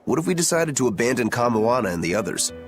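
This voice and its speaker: A man's voice: mid-range voice, very animated, fast talker, soft background music, lightly acted. A man playing a wry, laid-back swordsman. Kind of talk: game dialogue dub. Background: game score.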